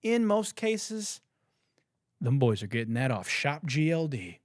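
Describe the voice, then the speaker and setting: A young man hosting a podcast, ranting in disbelief with lots of swearing and laughter, a little hoarse. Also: a little high, very animated, measured pace, no echo, clean studio sound. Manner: profane, laughing, incredulous ranting. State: hoarse, says he has lost his voice. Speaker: podcast host. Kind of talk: casual comedic commentary.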